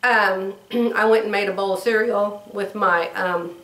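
An older woman with a drawl, hoarse, telling stories and chatting casually to camera as a sewing vlogger. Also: medium pitch, steady tone, unhurried, a bit bright, recorded from a distance. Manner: chatty storytelling, acts out quoted voices. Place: bedroom sewing room, indoors. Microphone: camera across the room. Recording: roomy, from off mic.

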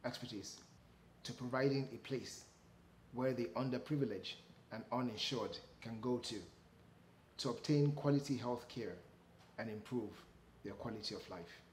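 A man's voice: medium pitch, moderate pace, a little mellow. A middle-aged man, a doctor, giving scripted promotional speech to camera. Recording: roomy echo, faint background noise.

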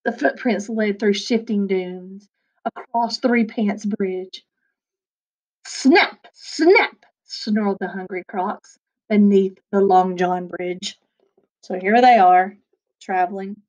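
Playful teacher reading aloud to kids. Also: female voice, mid-range voice, slow pace, dull audio. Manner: playful, expressive, chatty asides to children. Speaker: second-grade teacher. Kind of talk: reading a picture book aloud. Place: small attic room at home. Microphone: webcam at desk.

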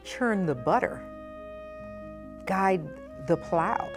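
A middle-aged woman presenting a children's storytime, speaking expressively and with deliberate phrasing for young listeners. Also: mid-range voice, animated, music in the background. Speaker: guest storytime reader, nonprofit president. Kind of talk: children's picture book read-aloud. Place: indoor storytime set at a library.

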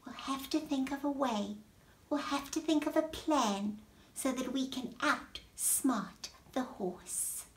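A woman's voice: high voice, takes it slowly, reverberant, pitched above the performer's own voice. A woman voicing a small, timid but clever snail in a children's story, meek and hesitant. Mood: worried, plotting.

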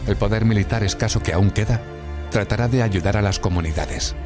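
Game narrator: grave military briefer played by a man, very low voice, dramatic scripted delivery, loud music underneath. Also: fairly quick, close to an everyday voice. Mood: grave, ominous.

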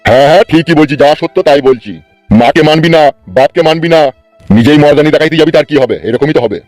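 A man playing a stern old father in a comedy cartoon, gruff, scolding and commanding. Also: a little high, fast talker, darkish tone, no echo, faint music behind, lightly acted. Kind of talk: Bengali comedy cartoon dialogue.

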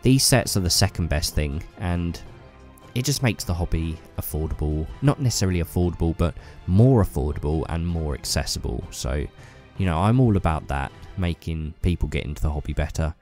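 Deep male vlogger, stuffy cold, enthusiastic and chatty. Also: lively intonation, a little fast, a little mellow, faint music behind. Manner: enthusiastic, rambling with fillers. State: bunged up with a cold. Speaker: YouTuber. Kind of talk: casual hobby vlog talk.

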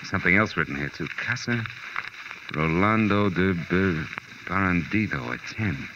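An actor playing a wisecracking, hard-boiled American private detective, clipped and sardonic in 1940s radio noir style. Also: male voice, low voice, animated, moderate pace. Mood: dawning excitement. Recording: dull, noisy 1940s radio recording.

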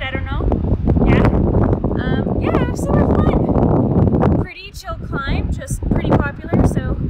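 A young woman in her twenties talking casually to a phone camera, relaxed and chatty with fillers, first in a car and then on a mountain ridge. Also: high-pitched, distant mic. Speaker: vlogger. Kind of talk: casual vlog narration. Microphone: phone camera at arm's length. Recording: outdoor wind noise, voice a bit off-mic.

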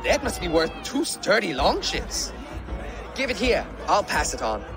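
An actor playing a cocky young Viking prince in a game, breezy and teasing. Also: male voice, high voice, big pitch swings, a little slow, gravelly, full character voice. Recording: music and crowd behind the voice. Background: music, crowd.

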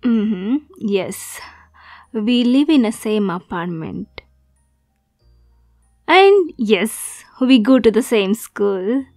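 A woman's voice: medium pitch, lively intonation, a little mellow, no echo, clean studio sound, soft background music. A woman playing a young, obsessive yandere girlfriend kidnapper in an ASMR roleplay, cutesy and teasing with sweet menace. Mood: playful, possessive. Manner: slow, cutesy, teasing, breathy asides.

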